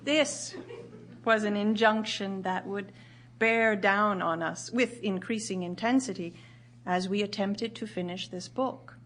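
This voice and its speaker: An actress playing a grieving widowed writer, narrating in a measured, solemn, literary way for audio theatre. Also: female voice, mid-range voice, fairly even intonation, a bit bright, a little hiss or hum, close to an everyday voice. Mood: grave. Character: grieving widowed woman writer recounting her husband's last year.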